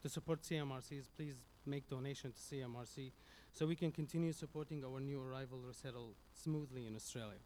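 A man from Syria with a Syrian Arabic accent, Arabic his first language, giving an earnest, halting public speech into a stage microphone as a community speaker. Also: mid-range voice, dark tone, a little hiss or hum. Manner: earnest, halting, with many restarts.

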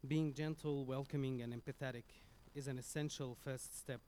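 A man from Syria with a Syrian Arabic accent, Arabic his first language, giving an earnest, halting public speech into a stage microphone as a community speaker. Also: mid-range voice, fairly even intonation, warm tone, slight background noise. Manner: earnest, halting, with many restarts.

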